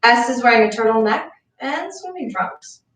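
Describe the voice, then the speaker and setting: A woman's voice: on the high side, expressive, moderate pace, fairly bright tone. A woman reading stage directions as scripted narration for a play. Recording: echoey, distant mic, clear background noise.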